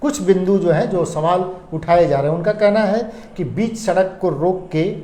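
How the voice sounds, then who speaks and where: A man's voice: sits high, moderate pace, dark tone. A man hosting a news discussion and posing pointed questions. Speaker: news debate host. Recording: echoey room.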